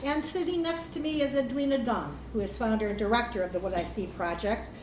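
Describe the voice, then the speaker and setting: A woman's voice: fairly high pitch, brisk, darkish tone. A woman chairing a live panel, introducing speakers in an informal way with frequent fillers. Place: event hall with live audience. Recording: echoey hall, background noise.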